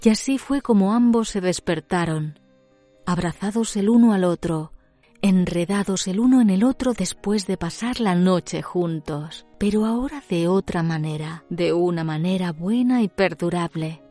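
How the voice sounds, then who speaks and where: Soft, mellow woman storyteller over music. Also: lowish voice, moderate pace. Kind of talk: scripted literary storytelling narration.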